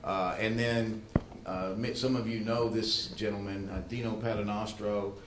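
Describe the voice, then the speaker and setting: A man hosting a conference, speaking informally and haltingly to the room, often repeating words. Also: lowish voice, little pitch movement. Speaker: event host. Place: good-sized meeting room. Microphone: livestream microphone, not amplified in room. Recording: roomy echo, faint background noise.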